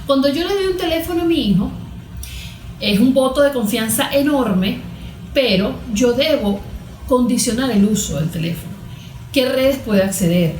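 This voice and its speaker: A woman's voice: sits high, animated, moderate pace, a little mellow, soft background music. A woman speaking as a guest therapist in an interview, explaining emphatically with rhetorical questions. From Venezuela. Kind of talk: conversational interview answers on parenting. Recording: roomy, echoing sound.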